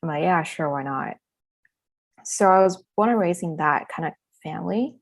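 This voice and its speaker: A woman's voice: lowish voice, moderate pace, creaky. A young woman from southern China, chatting as an interview guest, laughing often and speaking loosely with fillers. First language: Chinese. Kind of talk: casual interview chat.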